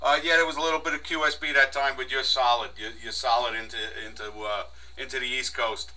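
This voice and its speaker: An American man chats casually as a ham radio operator. His voice is very bright and forceful, at an average male pitch. He sounds some distance from the microphone. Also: fairly even intonation, fast talker. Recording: distant from mic, harsh home recording.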